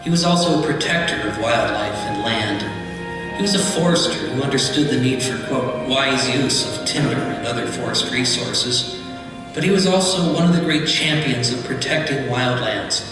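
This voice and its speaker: A man's voice: mid-range voice, moderate pace, far from the mic. A man delivering scripted documentary narration. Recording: echoey hall playback from afar, loud music.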